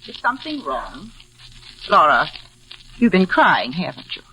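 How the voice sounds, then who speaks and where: Solemn older cottage keeper, expressive. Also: female voice, on the low side, measured pace, husky, heavily acted, rough recording. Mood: grave, consoling. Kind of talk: 1940s radio drama acting. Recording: vintage radio broadcast, music behind.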